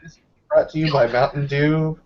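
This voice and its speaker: A man's voice: fairly high pitch, fairly even intonation, normal speed, darkish tone. A man talking casually and offhandedly, as if among friends. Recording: dull, lo-fi voice chat audio.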